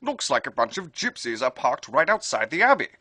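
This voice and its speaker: Guy doing a practical critter sidekick, wry, lively crisp-bright voice, close dry mic. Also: mid-range voice, a little fast, dry recording. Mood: matter-of-fact. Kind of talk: parody cartoon dub acting.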